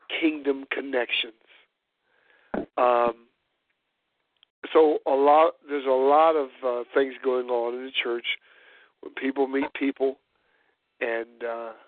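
A hoarse, congested man hosting a church call-in roundtable, speaking haltingly with many fillers. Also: medium pitch, no room sound. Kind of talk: hesitant spoken discussion. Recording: dry tinny phone line.

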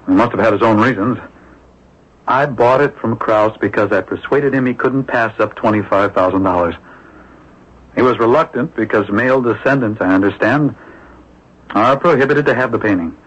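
An actor playing a composed male swindler under questioning in a radio drama, speaking in a measured, formal way. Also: on the low side, fairly bright tone, slightly rough. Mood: guarded, polite. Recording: dull, lo-fi old radio recording.

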